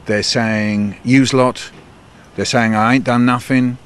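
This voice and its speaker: A man's voice: mid-range voice, fairly even intonation, takes it slowly, fairly bright tone, clean tone. A man hosting a radio discussion, asking probing, provocative questions. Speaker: radio host. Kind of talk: radio interview.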